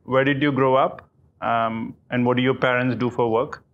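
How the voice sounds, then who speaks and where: Interviewer, gentle, encouraging, mid pitch. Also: fairly even intonation, moderate pace, narrow, tinny audio. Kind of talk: interview questions.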